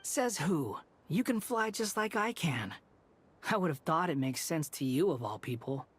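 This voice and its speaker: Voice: mid-range voice, very animated, normal speed, breathy edge. An actor playing an aloof, sarcastic young man in a video game, speaking in a dry, dismissive way. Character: aloof, sarcastic, prickly young man who can fly. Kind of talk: video game story acting.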